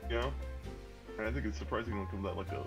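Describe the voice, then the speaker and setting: A man's voice: on the low side, quick pace, vocal fry. A man co-commentating casually on a live reaction stream. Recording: music playing behind the voice. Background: background music.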